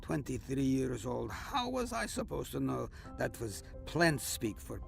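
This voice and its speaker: A jovial veteran starship captain in a sci-fi audio drama, acted by a man. He is an older man with a creaky, mid-pitched voice and wry, theatrical bluster. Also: sing-song, unhurried, faint music behind, heavily acted. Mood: mock indignant. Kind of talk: science fiction audio drama acting.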